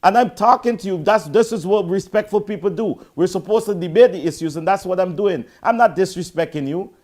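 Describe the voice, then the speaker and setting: A man's voice: high voice, brisk, darkish tone. A Guyanese man hosting a call-in show, firmly correcting a caller he keeps calling "sir". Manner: firm, corrective, repeatedly addresses the caller as sir. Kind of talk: heated call-in debate.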